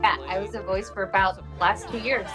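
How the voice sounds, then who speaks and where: A woman's voice: mid-range voice, steady tone, a little fast, over music. A woman voice actor telling anecdotes casually in an interview, animatedly acting out the dialogue she quotes.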